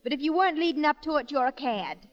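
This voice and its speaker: A woman's voice: sits high, animated, fast talker. An actress playing a coy, lovesick young woman with a tart jealous streak, in 1940s radio drama style. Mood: arch. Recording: muffled vintage 1940s radio recording.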